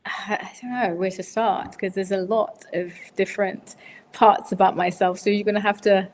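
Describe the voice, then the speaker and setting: A woman's voice: medium pitch, steady tone, normal speed, a little mellow, long reverb tail, slight background noise. A woman in her forties from Zimbabwe, a podcast guest answering questions in a loose, hesitant way with lots of fillers and restarts. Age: 40s. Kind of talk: casual interview answers.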